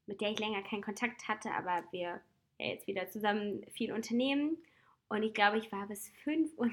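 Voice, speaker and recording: Woman talking with a cold. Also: mid-range voice. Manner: reflective, chatty, with soft laughs. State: has a cold. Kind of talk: casual personal advice talk.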